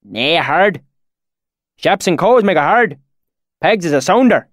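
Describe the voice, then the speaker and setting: A man's voice: a little high, lively intonation, measured pace, dry recording, voice pushed up. A weathered mountain swineherd, put on by a man, talking in a thick, oily backwoods dialect. The voice sits well above the reader's own and sounds strained and set apart from him. Dry, close recording. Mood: friendly.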